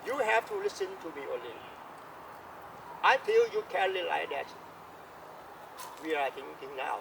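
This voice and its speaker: A Thai-accented man giving a slow, earnest Dharma talk, his fairly high voice full of gravelly fry. He sits some way from the microphone. Loud vehicle and street noise runs behind him. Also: expressive, recorded from a distance. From Thailand. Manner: earnest, emphatic, repeats key phrases. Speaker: Buddhist Dharma teacher.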